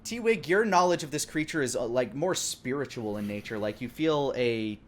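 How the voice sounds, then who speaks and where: A man's voice: medium pitch, expressive, moderate pace. A man narrating a tabletop game as game master, improvising and searching for words as he describes things. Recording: clearly noisy background.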